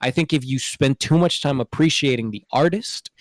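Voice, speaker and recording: A man's voice: medium pitch, normal speed, no room sound, clean studio sound. Male podcast host, mid-range voice, casual but earnest explainer, very little room sound. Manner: earnest, explanatory, checks in with "right?". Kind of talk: casual opinion discussion.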